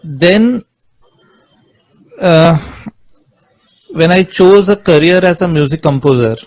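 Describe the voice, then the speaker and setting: A middle-aged Indian man with an Indian English accent, a Marathi speaker and music composer, answering interview questions with many pauses and restarts. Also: on the high side, rough recording. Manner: hesitant, many fillers and restarts.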